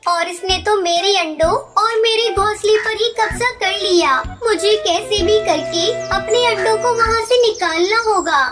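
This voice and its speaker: A woman's voice: very high voice, unhurried, hardly any pauses, over music. A woman voicing a small, anxious but clever mother sparrow in a children's cartoon, speaking in a worried, pleading way. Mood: exasperated worry. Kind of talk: Hindi children's cartoon story acting.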